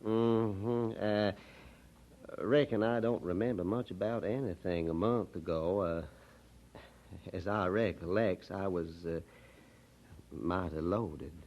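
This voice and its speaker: Low-voiced rural Southern countryman. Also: slow, pauses often, lo-fi sound. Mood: friendly, sheepish. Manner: folksy, drawling dialect. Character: plain-spoken rural husband, reformed drinker, amiable. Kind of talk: audio drama acting.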